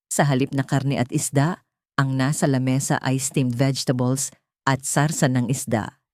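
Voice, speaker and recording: A woman's voice: a bass voice, mellow, no echo, clean studio sound. A woman with a Filipino accent narrating a scripted dramatic story as an audiobook narrator.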